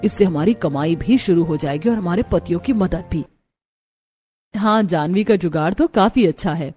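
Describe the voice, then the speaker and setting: A woman's voice: on the low side, normal speed, darkish tone, husky, faint music behind. A woman voicing a practical, resourceful young Indian daughter-in-law in a Hindi cartoon story. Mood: earnest.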